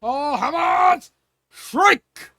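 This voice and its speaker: A man's voice: extremely slow, no room sound, heavily acted. A man voicing a brave, hearty warrior king from a storybook fan fiction, bellowing at his army. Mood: battle bellowing. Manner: booming, shouted.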